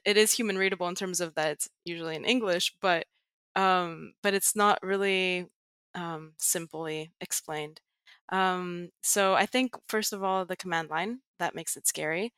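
A woman's voice: on the low side, a bit bright, clean tone, no room sound, clean studio sound. A young adult woman with an American accent, explaining things patiently as a podcast guest, with frequent fillers. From Greece. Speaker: guest, tech writer and educator. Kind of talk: podcast interview.

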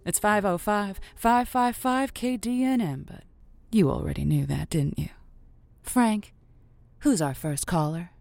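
A woman's voice: medium pitch, measured pace, vocal fry, darkish tone, no echo. A woman playing a wry, theatrical host of a lonely-hearts radio show in an audio drama, telling stories playfully. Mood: brisk, playful. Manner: playful, wry, storytelling delivery. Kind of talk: semi-improvised audio drama monologue.

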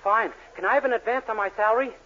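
A man's voice: sits high, fast, bright, forceful tone. A man playing a naive, earnest, dim young drugstore clerk in a 1940s radio comedy. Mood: wheedling. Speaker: sitcom lead actor. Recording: muffled 1940s radio recording.

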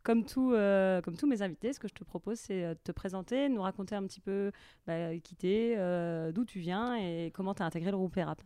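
A woman hosting a business podcast, reading a brisk, composed introduction and then interviewing her guest. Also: medium pitch, warm tone, clear, resonant tone, no room sound, studio quality. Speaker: podcast host.

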